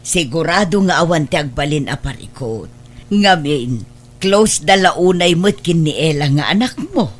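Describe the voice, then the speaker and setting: Radio drama grandmother: older, affectionate, husky, very animated intonation. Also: deep voice, moderate pace. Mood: fond, curious. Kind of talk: Ilocano radio drama acting.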